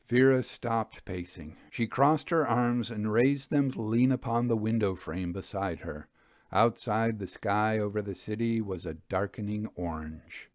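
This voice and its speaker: A man narrating from a script as a storyteller, with measured, articulate delivery. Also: on the low side, darkish tone, no room sound, studio quality. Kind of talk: scripted audiobook narration and commentary.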